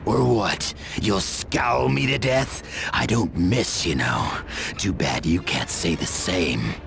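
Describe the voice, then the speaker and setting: A man's voice: low-pitched, expressive, creaky, over music, exaggerated voice. A man voicing a gloating, sadistic assassin villain in a video game, cocky and taunting. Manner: taunting, cocky, drawn-out threats. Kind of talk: video game cutscene acting.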